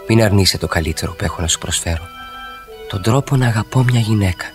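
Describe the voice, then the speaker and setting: A man's voice: lowish voice, over music, lightly acted. An actor playing a restless, eloquent romantic husband in his thirties in a 1960s radio play, with rhetorical, emphatic phrasing and repeated words. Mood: pleading, ardent.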